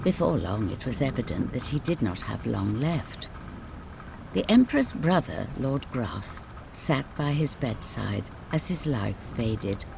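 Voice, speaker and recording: Bedtime fairy tale narrator: measured, dramatic, lively intonation, mid-low register, clear rain noise behind. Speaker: storyteller. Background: rain ambience.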